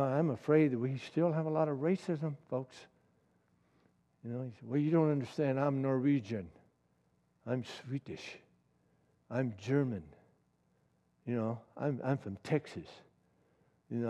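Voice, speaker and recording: A man's voice: medium pitch, dark tone, dull audio. Impassioned preacher man in a hall. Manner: impassioned, rising to emphatic peaks, repeats key lines. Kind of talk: sermon.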